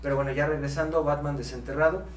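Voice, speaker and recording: A man's voice: a little high, fairly even intonation, fast talker. A man with a Mexican accent talking casually as a podcast co-host. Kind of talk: casual conversational podcast talk. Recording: echoey room, distant mic, faint hum.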